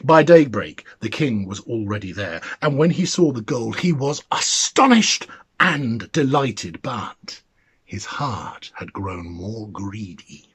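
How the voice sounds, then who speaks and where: A man narrates a fairy tale with dramatic flair. His delivery is unhurried and swoops widely in pitch, and every phrase is placed deliberately. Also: very animated, slightly rough. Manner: theatrical, deliberate. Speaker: storyteller, reader.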